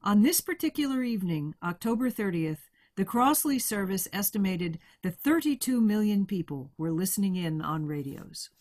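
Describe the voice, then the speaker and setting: A woman playing the grave narrator of a science fiction radio play, speaking in a measured, solemn way. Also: medium pitch, animated, darkish tone, lightly acted. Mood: measured, reflective. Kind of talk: scripted dramatic narration.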